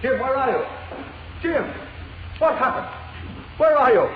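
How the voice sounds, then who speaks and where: A frantic editor in a vintage radio drama. The voice is high and swoops widely, with halting, desperate delivery. The sound is thin and phone-like. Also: male voice, sing-song, a little hiss or hum, heavily acted. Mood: desperate calling. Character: frantic, bewildered newspaper editor lost in darkness. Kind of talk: 1940s radio acting. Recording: tinny, narrowband old radio recording.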